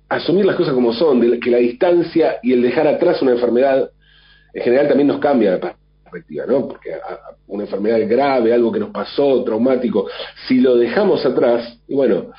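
A radio host, sick at home with a mild flu and cough, musing in a thoughtful, rambling way with many restarts. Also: male voice, medium pitch, moderate pace. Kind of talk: reflective monologue. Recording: dull lo-fi sound.

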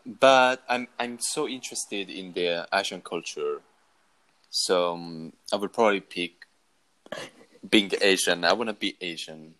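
A young man, a guest in a casual question-and-answer chat, speaking haltingly with many pauses and laughs. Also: low-pitched, fairly bright tone, poor audio. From Italy. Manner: hesitant, halting, laughs often.

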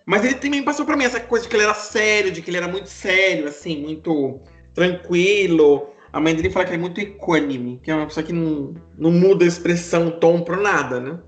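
A man's voice: high-pitched, quick pace, hardly any pauses, reverberant, soft background music. A man with a Brazilian accent chatting casually and expressively as a podcast host, telling stories. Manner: expressive, animated. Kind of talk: casual chat, storytelling.

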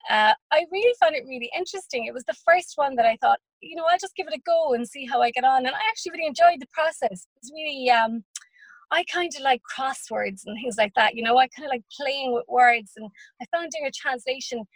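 A woman's voice: sits high, fast talker, dry recording, poor audio. A young Irish woman, a poet and teacher, chatting thoughtfully as a podcast guest, with many fillers and restarts. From Ireland. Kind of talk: casual interview chat.

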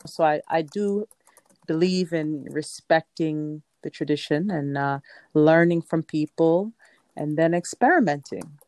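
Low-voiced reflective woman poet. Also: measured pace, darkish tone, dry recording. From New York (Queens). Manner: reflective, rambling, laughs often. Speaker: poet and performing artist; guest. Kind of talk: casual conversational interview.

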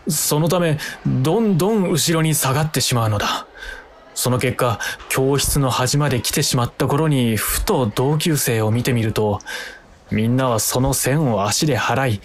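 A man's voice: mid-range voice, moderate pace, slight background noise, lightly acted. A man playing an earnest, overthinking protagonist, narrating in formal literary phrasing for a radio drama. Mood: wistful, earnest. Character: earnest, brooding man who overthinks everyday meals. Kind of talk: scripted first-person radio drama narration.

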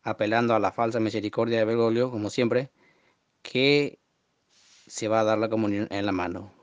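Low-voiced young Argentine man, muffled recording. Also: steady tone, smooth, no echo. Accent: northern Argentine Spanish. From Formosa, Argentina. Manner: earnest, indignant, halting with repeated phrases. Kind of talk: unscripted monologue to camera. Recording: muffled, dull low-fidelity audio.

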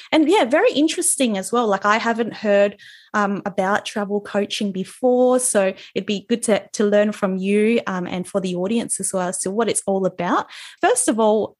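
A woman hosting a podcast, introducing the show and interviewing conversationally. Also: medium pitch. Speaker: podcast host.

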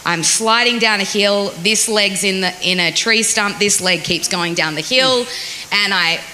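A woman's voice: medium pitch, unhurried, sharp tone. A woman in her forties with an Australian accent, chattily sharing her testimony in church. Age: 40s. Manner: chatty and self-deprecating. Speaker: guest speaker. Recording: hissy echoey church hall.

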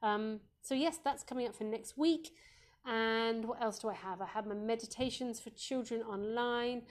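A woman with a croaky voice, a Montessori educator hosting a live parenting advice Q&A, gentle and reassuring, with frequent ums. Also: fairly high pitch, moderate pace.

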